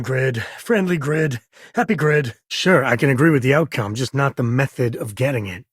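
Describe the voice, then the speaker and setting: An actor playing a rugged space-ranger father in a video game, with a husky, raspy voice and a wry, earnest, conversational manner. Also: mid-range voice, no room sound, clean studio sound, close to an everyday voice. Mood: energized, alert. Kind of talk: video game character voice lines.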